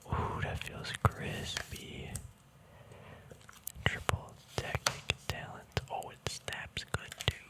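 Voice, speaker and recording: An ASMR host speaking in a casual, rambling whisper, hesitant and thinking aloud. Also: takes it slowly. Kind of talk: ASMR whispering, casual card unboxing chat.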